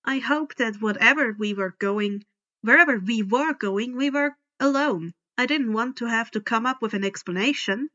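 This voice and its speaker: A woman reading first-person fiction aloud in a deliberate, dramatic storytelling style. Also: on the high side, sharp tone, highs cut off. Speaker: fan fiction audiobook reader. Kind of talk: expressive first-person scripted narration.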